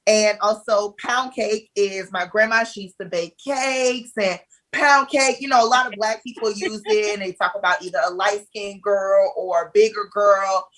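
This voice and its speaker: A woman's voice: mid-range voice, fairly even intonation, moderate pace, fairly bright tone. Confident Southern woman guest. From Southern United States. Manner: confident, frank, laid-back. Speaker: guest, activist and speaker. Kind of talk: casual interview. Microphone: video call.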